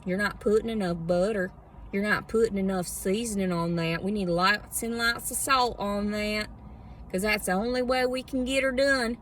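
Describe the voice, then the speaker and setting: A woman's voice: mid-range voice, normal speed, fairly bright tone, natural voice. A woman putting on a preachy, gluttonous Southern churchgoing woman with an exaggerated drawl, sarcastic and folksy in a satirical monologue. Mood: bossy, folksy. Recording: distant mic, clear background noise.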